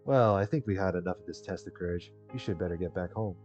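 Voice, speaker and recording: Weary young male lead, voiced by a male player, visual novel dialogue, dull recording over soft music. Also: sits low, fast talker, lightly acted. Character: young male protagonist, weary, mildly annoyed but forgiving. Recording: dull lo-fi mic with music behind.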